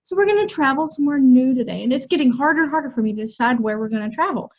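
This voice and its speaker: A bright, high-pitched young woman teaches a music lesson to kids. She talks in a cheerful, chatty way, as if speaking straight to her young students. She is from the United States. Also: animated, racing, dull audio. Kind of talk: online music lesson for children.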